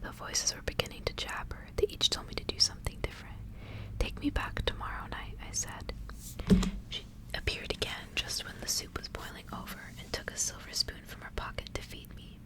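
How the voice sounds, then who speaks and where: A woman reading a literary tale aloud for ASMR in a hushed, unvoiced whisper. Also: brisk, rough recording. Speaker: ASMR reader.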